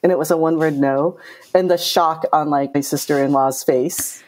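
A middle-aged woman with a low voice, speaking bluntly and directly as an interview guest. Her tone is dark and mellow. She tells her stories with animation. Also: moderate pace. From Japan. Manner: direct, outspoken, animated storytelling. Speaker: game producer, guest. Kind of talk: casual interview talk.

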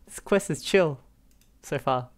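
A man's voice: on the high side, slow pace. Young male streamer, laid-back casual reaction commentary, close mic in a small room. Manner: relaxed, giggly, repeats himself. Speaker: game streamer.

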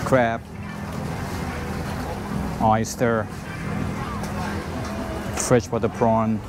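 A man with a Thai accent giving an unscripted food tour commentary, naming things briefly item by item with pauses between them. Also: fairly low pitch, little pitch movement, loud music behind the voice. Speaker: food market vlogger. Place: outdoor evening food market. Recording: noisy outdoor street market with traffic.

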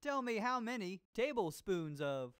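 A man's voice: high-pitched, very animated, a little slow, fairly bright tone, heavily acted. A man playing an upbeat, groovy disco cooking show host in an educational game, with exaggerated jive talk and showy delivery. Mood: groovy, playful. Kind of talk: scripted educational game character performance. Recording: music behind the voice.

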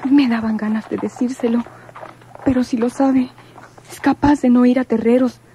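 An actress playing a gentle, anxious young sweetheart with a Mexican accent and a soft, breathy voice in a radio drama. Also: female voice, on the high side, fairly even intonation, normal speed, background noise, natural voice. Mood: frightened, secretive.

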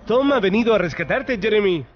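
A man's voice: high voice, animated, brisk, dull audio, a little hiss or hum. A man narrating a preschool cartoon, talking to the characters with encouraging exclamations and questions.

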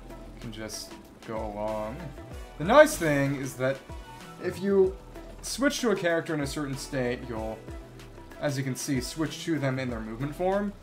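A man's voice: medium pitch, big pitch swings, moderate pace. A man giving casual live commentary as a video game streamer, rambling and thinking aloud as he plays. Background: video game music.

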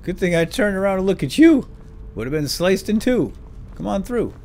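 A man's voice: high voice, very animated, moderate pace, a little mellow. A man giving playful, lightly role-played let's play commentary, chatting to his in-game companion.

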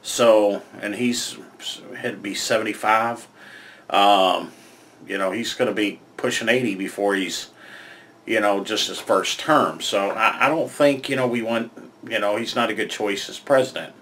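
An older American man speaks into a webcam from a small room at home. His voice sits a little low, and he rambles along with plenty of fillers and repeated words. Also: a little slow, fairly bright tone, slight background noise. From United States. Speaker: vlogger giving political opinions. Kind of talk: casual monologue to camera. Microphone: webcam framing, head and shoulders.

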